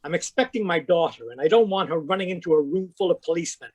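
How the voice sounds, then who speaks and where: A man playing a gruff, suspicious old man, high-pitched, dry sound. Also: lively intonation, fast talker, dry recording, dull audio. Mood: irritable. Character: crusty, jittery older man. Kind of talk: radio drama read live over video call. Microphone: home microphone on a video call.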